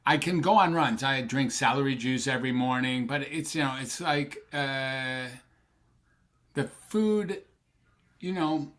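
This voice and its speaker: A man's voice: medium pitch, a bit bright. An older man, a psychiatrist guest on a podcast, chatting casually and enthusiastically with many fillers and restarts. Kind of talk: casual interview chat. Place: Sacramento, USA.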